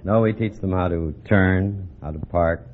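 A man's voice: sits low, unhurried. A man giving earnest answers as a contestant on an old radio quiz. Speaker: driving instructor, quiz show contestant. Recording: tinny old radio recording, faint noise.

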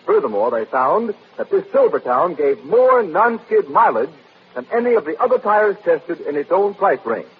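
A man's voice: sits high, very animated, normal speed, slightly rough. A man announcing a vintage radio commercial in a formal, emphatic salesman's manner. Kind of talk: vintage radio advertising read. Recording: tinny, narrow-band vintage radio recording, noise.

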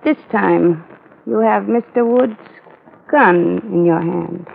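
Cool seductive spy, creaky, vintage radio. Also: female voice, lowish voice, takes it slowly, full character voice. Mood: assured, businesslike. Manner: poised, coolly teasing. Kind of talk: 1940s-style radio drama acting. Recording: muffled old radio broadcast, faint noise.

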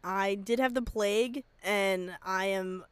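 Hoarse young woman hosting a podcast. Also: mid-range voice, slow, no echo. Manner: jokey, laughs often. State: hoarse, losing voice after strep throat. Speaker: podcast co-host. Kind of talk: casual podcast chat.

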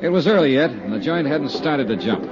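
A dull vintage radio recording of a man playing a hard-boiled insurance investigator. His delivery swings widely in pitch, clipped, wry and persistent, in classic 1950s detective style. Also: lively intonation, a little fast, a crowd in the background, noisy background, natural voice. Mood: wry, descriptive.

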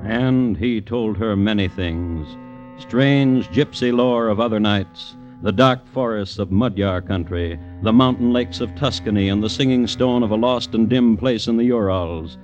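A man narrating a 1950s radio crime drama as its host, in a measured, literary storytelling manner. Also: fairly low pitch, darkish tone. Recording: muffled old radio recording with music behind.

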